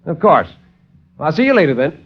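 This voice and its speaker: Radio drama role for a male actor: brisk army medic, raised pitch, hearty and genial, dull vintage sound. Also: very animated, husky, full character voice. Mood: affable. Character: brisk, genial male military doctor, sympathetic colleague. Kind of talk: 1950s radio drama acting. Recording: muffled 1950s radio recording.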